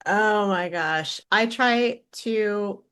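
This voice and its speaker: A woman giving a casual, reflective interview answer as a voice actress, in a halting way as she thinks aloud. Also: medium pitch, fairly bright tone, smooth.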